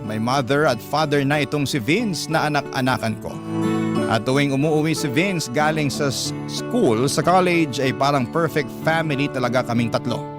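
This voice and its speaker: A man acting a sentimental Filipino storyteller, loud guitar. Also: mid-range voice, moderate pace, close to an everyday voice. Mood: wistful, confiding. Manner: confiding, sentimental. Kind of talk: dramatic first-person radio narration. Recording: loud guitar music under the voice.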